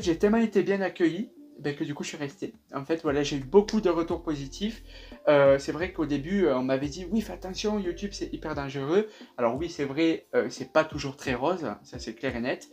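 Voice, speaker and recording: A man's voice: a little high, a little mellow. A young man in his twenties with a sing-song southern French accent, chatting upbeat in a casual vlog with lots of fillers. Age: 20s. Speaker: YouTube reaction creator.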